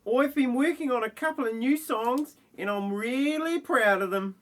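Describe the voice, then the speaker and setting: A woman's voice: on the high side, moderate pace, close to an everyday voice. A woman playing a space-obsessed young girl in an amateur spoof audio play. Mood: proud.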